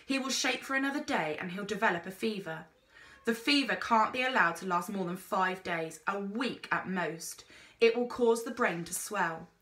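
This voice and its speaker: A woman voicing a gruff, commanding explorer man in a storybook, terse and authoritative. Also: medium pitch, normal speed, fairly bright tone, natural voice. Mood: grave.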